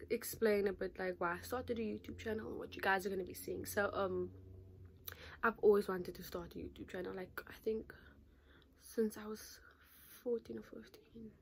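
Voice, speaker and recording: A chatty nineteen-year-old woman vlogging straight to her camera from a small bedroom, held at arm's length. She talks at a middling pitch for a woman, with lots of fillers and an animated, gesturing delivery. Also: moderate pace, gravelly, long pauses, slight background noise. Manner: chatty, animated, gestures a lot, says "like" constantly. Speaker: YouTuber. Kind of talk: casual to-camera vlog. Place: small bedroom in front of a patterned curtain. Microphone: phone or camera at arm's length.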